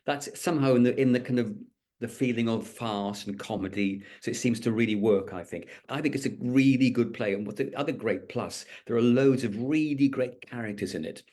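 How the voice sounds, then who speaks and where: A man's voice: mid-range voice, brisk, a little mellow. A middle-aged man with a light Welsh accent, a stage actor answering interview questions thoughtfully, with frequent restarts. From Wales. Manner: thoughtful, many restarts and fillers.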